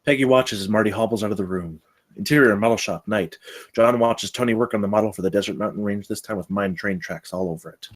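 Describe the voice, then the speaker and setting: Fast-talking low-voiced man narrating. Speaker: narrator reading stage directions. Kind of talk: scripted narration at a table read.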